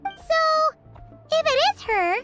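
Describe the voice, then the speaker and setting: Tiny squeaky fairy companion. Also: female voice, lively intonation, takes it slowly, exaggerated voice. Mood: pondering. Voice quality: squeaky, childlike. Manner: speaks of herself in the third person, earnest. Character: small childlike floating fairy companion, chatty, earnest, kindhearted. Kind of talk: video game voice acting. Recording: loud music behind the voice.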